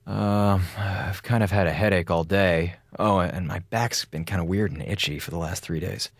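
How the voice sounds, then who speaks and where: An actor playing a chatty, sarcastic space station communications officer with an American accent, logging theatrically in a radio drama. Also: male voice, low-pitched, normal speed, no echo, studio quality. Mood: unwell, downplaying. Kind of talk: radio drama audio log monologue. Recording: music behind the voice.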